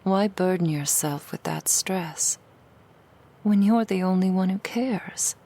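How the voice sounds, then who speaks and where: A woman playing a seductive female demon in an ASMR roleplay, coaxing the listener in a breathy whisper with soothing, insidious persuasion. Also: on the low side, slow pace, warm tone. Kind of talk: ASMR roleplay, intimate monologue.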